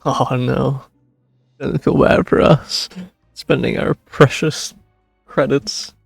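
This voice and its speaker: A man's voice: medium pitch, steady tone, slow, gravelly, husky, no echo, soft background music. A man streaming a game, giving casual, wry reaction commentary in brief asides on a close microphone.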